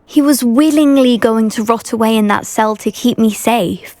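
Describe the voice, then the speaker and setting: A woman's voice: a little high, normal speed, a little mellow, lightly acted. A woman playing an earnest, well-spoken, anxious teenage schoolgirl witch with a British accent in a video game. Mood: grateful, uneasy. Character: anxious, polite teenage schoolgirl witch devoted to her uncle. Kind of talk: video game dialogue acting.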